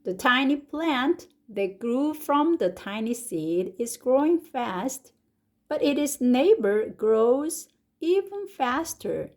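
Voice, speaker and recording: A woman with a Korean accent, reading an English picture book aloud slowly and expressively, like a teacher, with lively rises on the exciting words. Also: high-pitched. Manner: slow and deliberate, stretching words, with lively rises on exclamations. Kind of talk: slow, expressive storybook read-aloud.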